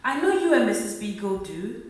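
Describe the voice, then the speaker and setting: A woman playing a gentle, worried working-class mother in a radio play. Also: medium pitch, big pitch swings, moderate pace, lightly acted. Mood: grateful, sorrowful. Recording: echoey room, far from mic.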